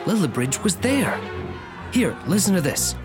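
A man's voice: sits high, big pitch swings, a little slow, over music. An actor playing a shaken, earnest young man in a radio drama, anxious and intense. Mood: troubled, intrigued.

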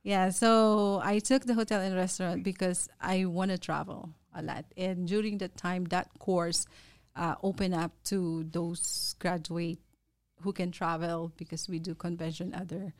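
A woman's voice: on the low side, fairly even intonation, moderate pace, no echo. A woman in her forties from the Philippines with a Filipino accent, chatting as a guest in a studio interview. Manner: friendly, chatty, laughs easily, mixes in Tagalog. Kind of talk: casual interview chat. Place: podcast studio.

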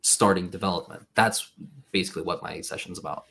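A man's voice: deep voice, a little fast, vocal fry, fairly bright tone, breathy edge. A man from Sweden hosting a casual podcast chat, friendly and rambling, full of filler phrases.

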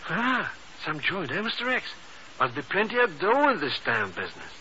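An actor playing an excitable, greedy, dim sidekick who chatters and stammers eagerly in a 1950s radio drama. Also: male voice, high voice, normal speed, full character voice. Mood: eager, curious. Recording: muffled, noisy early-1950s radio recording.

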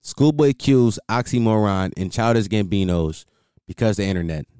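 A man's voice: on the low side, moderate pace, dark tone, clear, resonant tone, no echo, clean studio sound. A young man rambling casually as a solo podcast host, repeating himself and filling with "like".

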